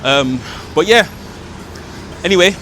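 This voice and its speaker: Travel vlogger, man, loose and excitable, recorded outside with clear street noise. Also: sits high, slow, poor audio. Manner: excitable, slangy, lots of fillers. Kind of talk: casual vlog to camera. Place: outdoors on city streets and in a park. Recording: outdoor city noise behind.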